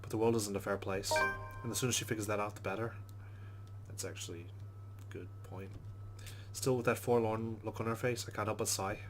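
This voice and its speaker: A man's voice: on the low side, fairly even intonation, a little fast, music in the background. A man playing through a story game, reading its narration aloud with casual asides. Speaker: let's play host.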